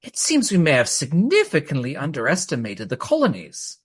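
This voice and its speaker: Man as a swooping, husky, high-voiced Regency aristocrat. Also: huge pitch swings, normal speed, exaggerated voice. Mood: astonished, flustered. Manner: exclamatory, courteous, flustered. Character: astonished, polite Regency aristocrat, courtly and fussy. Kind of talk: radio play acting.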